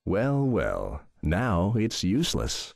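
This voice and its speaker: An actor playing a calm, pompous villain who poses as a self-help coach in a game dub, measured and condescending in manner. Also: male voice, low voice, vocal fry, background noise, exaggerated voice. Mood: smooth menace. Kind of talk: scripted anime game dub acting. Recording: loud music behind the voice.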